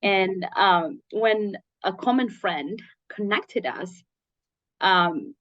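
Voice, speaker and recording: A woman's voice: medium pitch, animated, very slow, a bit bright, no room sound. A woman hosting a casual interview, responding in an appreciative, reflective way, recorded in slightly dull, lo-fi audio. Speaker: interview host.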